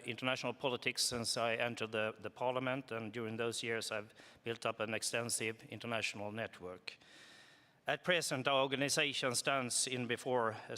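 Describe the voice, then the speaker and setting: A man's voice: mid-range voice, pushed and bright. A man in his forties giving a formal speech to a committee, with frequent filler sounds. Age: 40s. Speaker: parliamentarian candidate. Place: assembly conference hall.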